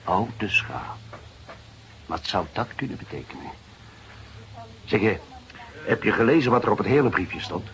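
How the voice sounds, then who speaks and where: An actor playing a weary, grumbling middle-aged police inspector in a radio drama, gruff and unhurried. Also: male voice, fairly low pitch, lively intonation, a bit bright, slight background noise, poor audio. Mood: pondering. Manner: gruff, unhurried, with muttered asides.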